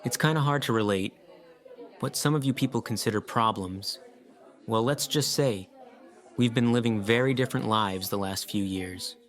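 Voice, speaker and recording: A man's voice: on the low side, normal speed, a bit bright, some chatter behind, close to an everyday voice. A man playing a hesitant, wary newcomer among survivors in an audio drama. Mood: hesitant, polite. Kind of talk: fan audio drama acting.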